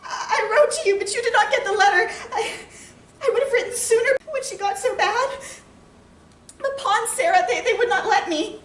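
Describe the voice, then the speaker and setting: A loving, anxious farm wife of the 1860s, played by a woman on stage, speaks with a high, earnest voice. Her phrasing is plain and old-fashioned, like heartfelt letters delivered as a theatrical monologue. The recording is roomy and distant, with some background noise. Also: expressive, normal speed, pauses often, fairly bright tone. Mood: grief-stricken, tearful.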